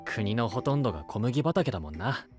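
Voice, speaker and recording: Lively young male knight with expressive swings. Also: lowish voice, fairly quick, over music. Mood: relaxed, reassuring. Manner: casual, blunt masculine speech, reassuring. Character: young male knight, casual, cool, supportive big-brother type. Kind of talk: anime-style voice drama acting.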